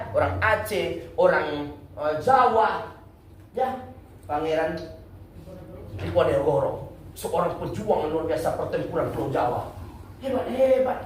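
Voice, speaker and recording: Man, lively mosque sermon, asks and answers his own questions, heavy room echo, distant mic. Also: fairly high pitch. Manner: animated, asks the audience questions and answers them himself. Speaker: Islamic preacher. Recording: echoey room, distant mic, faint noise.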